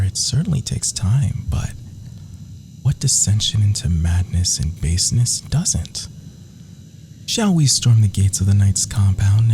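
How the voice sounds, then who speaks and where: A man's voice: on the low side, background noise. A man performing whispered ASMR roleplay as an adoring, menacing villain lover, theatrical and florid, intimate in delivery.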